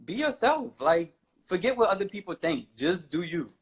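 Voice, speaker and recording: A man's voice: sits high, sing-song, moderate pace, slightly rough. A man in his twenties from New Orleans, a guest on a talk show, speaking casually and rambling with lots of "you know" and "I feel like". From New Orleans, USA. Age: 20s. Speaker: guest, fashion model.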